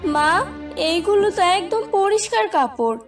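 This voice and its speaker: A woman playing a gentle, downtrodden young village girl in a cartoon fairy tale, meek and pleading. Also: extremely high pitch, expressive, normal speed, recorded from a distance, faint music behind. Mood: timid protest.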